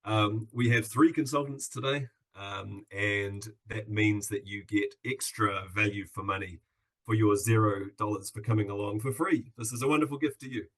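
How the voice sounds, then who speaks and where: A man with a New Zealand accent hosts a live online Q&A over a video call. His voice is fairly low and a little husky. He chats in an upbeat way and leans on many fillers. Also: fairly even intonation, moderate pace, fairly bright tone. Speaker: webinar host. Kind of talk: live webinar hosting.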